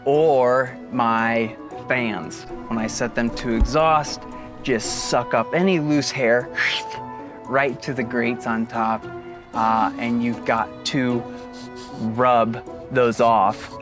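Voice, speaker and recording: A man in his thirties casually talking to camera from inside his camper van, relaxed and jokey. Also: medium pitch, takes it slowly, over music. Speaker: vlogger. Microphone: camera at close range.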